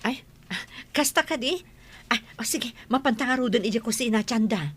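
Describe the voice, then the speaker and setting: A woman playing a money-minded, nagging village wife in an Ilocano radio comedy drama, with an animated, scolding manner. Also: a little high, fairly quick, husky. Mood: sociable.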